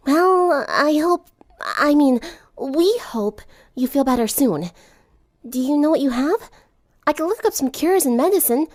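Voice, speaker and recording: Woman doing a nerdy awkward cartoon teen girl, shy and flustered, high voice. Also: animated, unhurried, a little mellow. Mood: earnest concern. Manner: hesitant, earnest, flustered.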